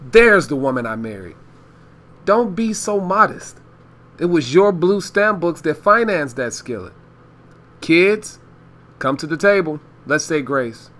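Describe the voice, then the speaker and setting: A man's voice: a little high, big pitch swings, unhurried, a bit bright. An American man playing a genial, folksy father of a young family in a radio play. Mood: warm, reassuring. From United States.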